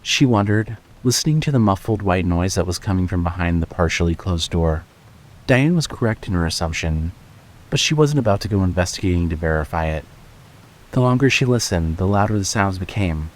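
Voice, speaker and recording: A man's voice: lowish voice, a little hiss or hum, rough recording. A man narrating a scripted horror story as the storyteller of an audio drama.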